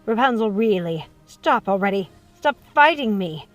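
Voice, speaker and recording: A woman's voice: medium pitch, lively intonation, normal speed, dry recording, close to an everyday voice. Villain witch mother, played by a female reader: menacing, possessive, syrupy threats, music underneath. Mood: irritated. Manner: syrupy menace, swinging from hushed coaxing to screaming.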